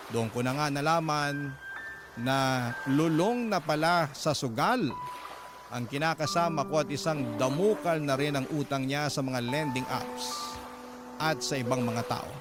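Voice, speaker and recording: A man reading dramatic first-person scripted narration as a radio storyteller. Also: medium pitch. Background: loud music.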